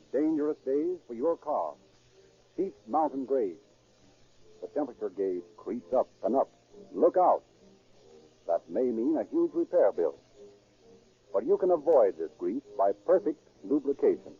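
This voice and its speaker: A man with an American accent announcing and narrating a 1930s radio programme in a formal, measured, scripted style. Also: medium pitch, sing-song, husky. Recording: muffled, worn 1930s radio recording.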